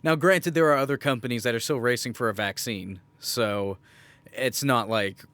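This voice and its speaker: A man's voice: mid-range voice, normal speed, a bit bright, dry recording. Joking man chatting on a podcast, dry close sound. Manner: joking, bantering. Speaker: podcast co-host. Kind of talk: casual chat.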